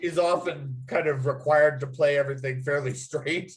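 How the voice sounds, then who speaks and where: A man's voice: on the high side, steady tone, moderate pace. A man chatting casually in a group, rambling and enthusiastic, often stopping to restart his thoughts. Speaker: writer leading a table-read discussion.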